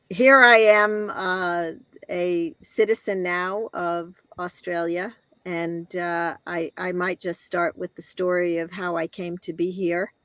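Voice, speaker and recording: Older American female interview guest, halting personal storytelling, very leisurely pace, dry close sound. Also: on the low side, level tone, a bit bright, no echo. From Connecticut, northeastern United States. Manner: reflective, halting, pausing between short phrases. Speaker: guest telling own life story.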